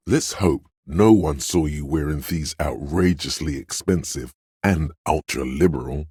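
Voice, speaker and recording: An actor voicing a suave, theatrical inner voice in a video game, narrating in a measured, wry way with a gravelly, husky tone. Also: male voice, a bass voice, darkish tone, no room sound, clean studio sound, exaggerated voice. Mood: indulgent, grand. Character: suave, theatrical inner voice of a detective's flair and agility. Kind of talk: scripted second-person game narration.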